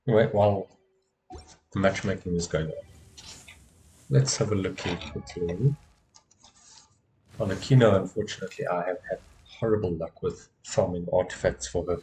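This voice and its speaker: A man with a fairly low voice gives casual live gameplay commentary over game music. He talks to himself, repeats little phrases and swears mildly as he plays. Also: darkish tone, rough recording. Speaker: video game streamer. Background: video game music.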